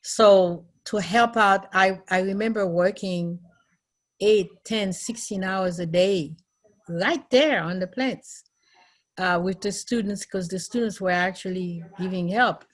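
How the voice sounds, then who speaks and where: A middle-aged Haitian woman who is a nurse and educator, telling her story in an earnest, thoughtful way with pauses and fillers. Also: medium pitch. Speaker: nurse and nursing educator, interviewee. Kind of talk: recorded interview, personal recollection.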